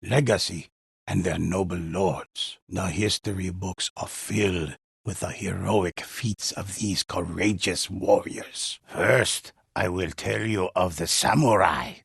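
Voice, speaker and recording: A grave, mysterious older storyteller narrates game legends of warriors and spirits. His voice is low and very raspy, and he speaks slowly, weighing every phrase with solemn reverence. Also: dry recording, studio quality, exaggerated voice. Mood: reverent, hushed intensity. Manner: solemn, measured, portentous delivery. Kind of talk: dramatic scripted storytelling narration for a video game.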